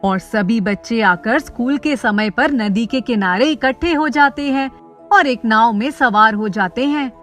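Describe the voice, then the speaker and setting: A woman with a fairly high voice narrates a scripted children's story. She reads as the storyteller, with music playing behind her. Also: a little slow, fairly bright tone.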